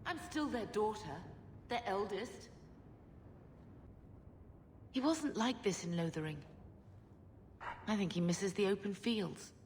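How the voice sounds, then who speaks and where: A woman's voice: mid-range voice, slow pace, long pauses, darkish tone, long reverb tail, a little hiss or hum, lightly acted. An actress playing a proud, wistful middle-aged noblewoman in a video game, speaking with earnest dignity. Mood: wounded, chiding.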